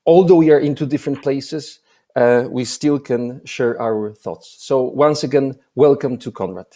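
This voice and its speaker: A man's voice: medium pitch, unhurried, dark tone. A man with a Polish accent who hosts a festival interview over a video call, speaking formally with many hesitations and filler sounds. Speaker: festival program director, interviewer.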